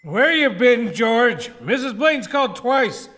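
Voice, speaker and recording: A man's voice: extremely high pitch, very animated, slow, sharp tone, full character voice. A man playing a grieving, drunken older druggist in a radio play, harsh and agitated. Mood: drunken rage. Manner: agitated, scolding.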